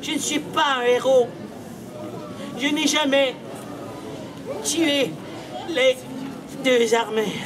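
A man's voice: very high-pitched, takes it slowly, pauses often. A man voicing a solemn little bug puppet hero in a live street show, with a grandly tragic delivery. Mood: mournful, solemn. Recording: outdoor street recording, distant, crowd around.

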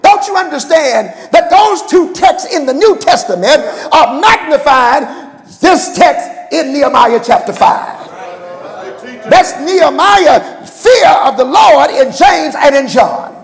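An older man with a gravelly voice, preaching an impassioned, emphatic sermon and calling on his congregation. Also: very high voice, very animated, slow. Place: large church sanctuary, at a pulpit. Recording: church hall with long reverb.

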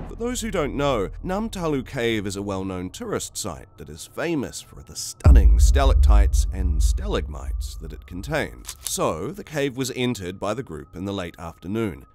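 A man's voice: lowish voice, darkish tone, a little hiss or hum. A man reading a scripted documentary narration in a formal, wordy manner.

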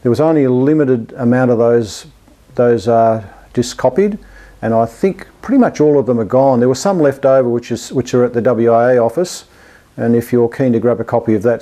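Mellow, hesitant Australian man. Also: mid-range voice. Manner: hesitant, rambling, chuckling, self-deprecating. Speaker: amateur radio and television hobbyist presenting an update. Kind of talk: casual, unscripted video update to camera.

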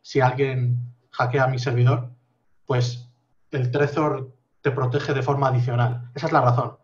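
A man in his thirties explaining his project on a video call from a home office, measured and full of fillers. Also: medium pitch, level tone, lo-fi sound. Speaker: guest project founder. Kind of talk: casual video call interview.

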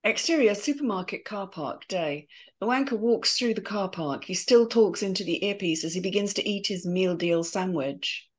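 A woman's voice: medium pitch, moderate pace. A woman steadily reading the scene directions of a screenplay in a matter-of-fact way. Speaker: narrator reading stage directions.

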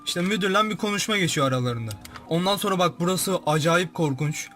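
A man's voice: high-pitched, brisk, fairly bright tone, soft background music. A young man giving casual, informal, exclamatory commentary as a YouTube host, describing what he sees. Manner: informal, exclamatory, narrates what appears on screen.

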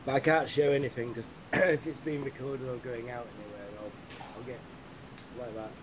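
A man with a British accent, worn out and losing his voice, chatting haltingly on a video call and often clearing his throat. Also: mid-range voice, steady tone, background noise. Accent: British English. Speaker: keynote speaker. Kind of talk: casual pre-talk chat on a video call.

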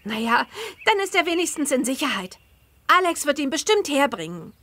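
A woman voicing a cheerful teenage girl witch in a children's game, speaking expressively and clearly. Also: high voice, big pitch swings, normal speed, bright, forceful tone, exaggerated voice. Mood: helpful, thoughtful. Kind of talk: scripted children's game dialogue.

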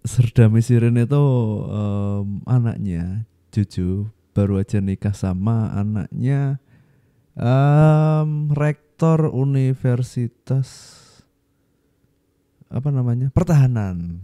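Dark-voiced, unhurried man. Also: mid-range voice, smooth, no echo, clean studio sound. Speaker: reaction video host. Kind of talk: casual commentary on a video. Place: small home studio indoors. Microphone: close boom-arm microphone, headphones.

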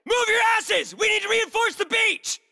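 A man voicing a fiery soldier in a video game, yelling urgently in a gravelly voice. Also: very high-pitched, moderate pace, no echo, clean studio sound, full character voice. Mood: urgent, agitated. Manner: urgent, yelled. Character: fiery, foul-mouthed male soldier yelling in battle. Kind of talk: video game combat acting.

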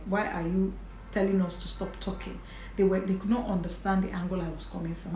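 A Nigerian woman in her thirties talks straight to camera from a home office in a chatty, earnest manner. Her voice sits at an ordinary pitch and echoes off the room, with a faint hiss underneath. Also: darkish tone. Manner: chatty and earnest, with light laughs. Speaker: vlogger, mother. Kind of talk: casual talk to camera. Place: indoor home office with bookshelf and curtains. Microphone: camera in front at desk distance.

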